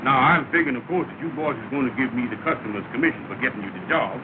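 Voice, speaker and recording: An actor playing a smooth-talking, scheming lodge leader who uses fancy words in an old film comedy. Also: male voice, medium pitch, fast talker, lightly acted. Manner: slick, long-winded, fancy words. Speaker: comic schemer in a 1930 film. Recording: scratchy, tinny, hissy 1930 film sound.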